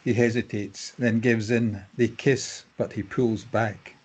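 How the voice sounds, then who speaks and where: A man's voice: on the low side, steady tone, slow, a little mellow, husky. A man giving scripted narration, reading out the stage directions of a drama.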